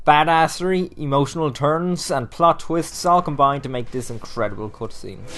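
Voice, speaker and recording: A man's voice: a little high, normal speed, a bit bright, slight background noise. A man narrating a scripted review countdown as host, enthusiastic, emphatic and casually profane.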